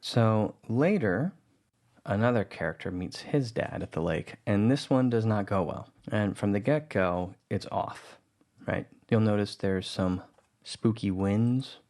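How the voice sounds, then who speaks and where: Man, tutorial voice-over, low pitch, relaxed, explanatory. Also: measured pace. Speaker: audio drama producer teaching music editing.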